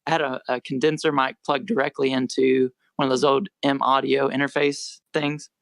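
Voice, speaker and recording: Young american musician guy, quiet voice, loose rambly chat, dry mic. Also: medium pitch, moderate pace, vocal fry, no room sound. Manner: rambling, self-correcting, relaxed. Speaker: musician guest. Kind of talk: casual podcast interview.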